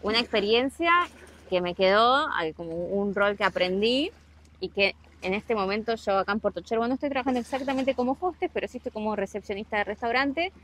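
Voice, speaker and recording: A woman's voice: medium pitch, fairly quick, fairly bright tone, a little hiss or hum. A young Argentine woman with a Rioplatense accent, chatting casually to camera outdoors about working abroad, explaining with many asides. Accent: Rioplatense Spanish. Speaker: travel vlogger. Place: outdoors on rocks by the sea, then a harbor.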